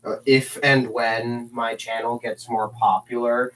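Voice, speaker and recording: A man's voice: mid-range voice, flat delivery, measured pace, fairly bright tone, slight background noise, low-quality recording. A teenage boy chatting on a livestream, rambling and self-mocking with jokey asides. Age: teens.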